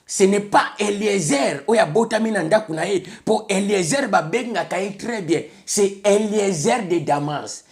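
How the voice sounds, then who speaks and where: A man's voice: high voice, measured pace, hardly any pauses. Congolese preacher man, emphatic sermon. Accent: Congolese French. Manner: emphatic, repeats "bien aimé le Seigneur", switches between French and Lingala.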